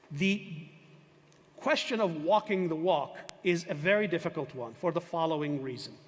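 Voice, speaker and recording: Older male professor, fairly high voice, emphatic lecture delivery with rhetorical questions, hall reverb. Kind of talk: university lecture.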